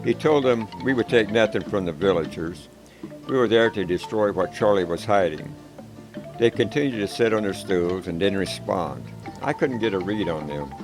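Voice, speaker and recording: A man reading a first-person war story in a calm, measured, plain-spoken way. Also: fairly low pitch, rough recording. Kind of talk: scripted first-person narration. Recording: background music.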